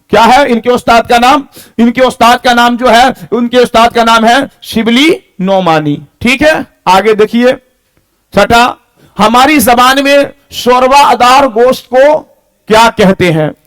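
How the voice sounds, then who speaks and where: A man teaching an exam-prep lesson, reading out questions and answers, repeating them and checking that students follow. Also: very high voice.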